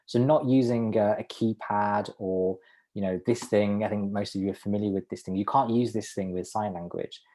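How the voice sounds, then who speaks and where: A man working as a sign language interpreter, voicing a student's presentation in the first person over a video call, halting at times with restarts and small laughs. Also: lowish voice, steady tone, dark tone.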